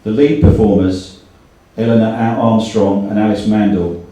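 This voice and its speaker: Low-voiced man speaking in an echoey room. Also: steady tone, normal speed, warm tone. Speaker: event host. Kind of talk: live introduction speech at a book launch. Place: indoor event room, speaking live. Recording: echoey room, distant mic, faint noise.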